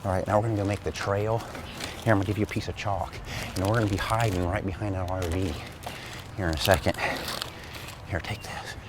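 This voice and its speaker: A man's voice: sits low, fairly even intonation, brisk, noisy background. A man hosting a kids' gym video, chatting casually and playfully as he gives a helper instructions. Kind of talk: casual chat while setting up a prank.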